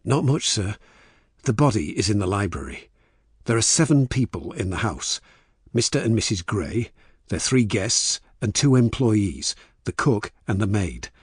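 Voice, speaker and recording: A man's voice: lowish voice, very animated, a little slow, husky, dry recording, studio quality, pitched above the performer's own voice, exaggerated voice. A man voicing a deferential, slightly uneasy police sergeant reporting facts respectfully, in a mystery audiobook. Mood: dutiful, uneasy.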